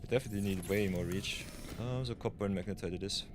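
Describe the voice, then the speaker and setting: A man's voice: fairly low pitch, quick pace, over music. Man muttering through a game. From Austria. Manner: mutters plans to himself, chats with viewers. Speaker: game streamer. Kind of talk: casual live-stream commentary.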